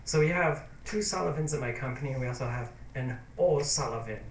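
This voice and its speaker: A man of middle pitch, rambling through a personal video diary. He hesitates, circles back and sounds sentimental and confiding. The room gives his voice a noticeable echo, with faint background noise. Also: a little fast, recorded from a distance. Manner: rambling, hesitant, full of fillers and restarts. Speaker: YouTube vlogger. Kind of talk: casual diary vlog. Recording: roomy echo.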